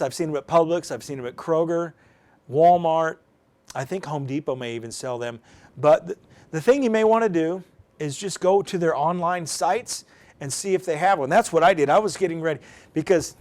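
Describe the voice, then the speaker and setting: An American man giving an informal live demonstration, explaining off the cuff in a folksy, conversational way and thinking aloud as he goes. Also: on the high side. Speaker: presenter of a weather radio programming demonstration.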